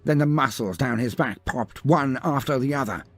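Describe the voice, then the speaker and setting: A man's voice: mid-range voice, normal speed, creaky, husky, dry recording. A man narrating a scripted story in a dramatic, grandiose, theatrical manner.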